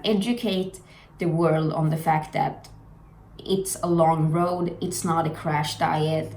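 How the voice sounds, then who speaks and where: A woman's voice: low voice, darkish tone. Frank Swedish-accented young woman. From Sweden. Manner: frank, blunt, earnest. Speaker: vlogger. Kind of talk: candid personal commentary. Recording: echoey room with faint noise.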